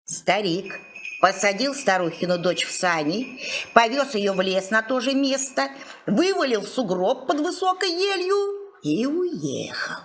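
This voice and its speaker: A woman storyteller giving an expressive scripted fairy-tale narration. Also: fairly high pitch, unhurried. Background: music.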